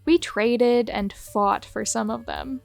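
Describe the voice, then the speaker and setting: A woman hosting a video who reads out short fan confessions one after another in a brisk, matter-of-fact way. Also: on the high side, steady tone, gravelly, darkish tone, faint music behind. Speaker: host reading viewer submissions. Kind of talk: reading aloud a list of fan confessions.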